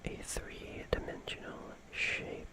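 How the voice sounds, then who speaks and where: Very slow, whispering ASMR streamer. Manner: musing, halting, drawn-out phrases.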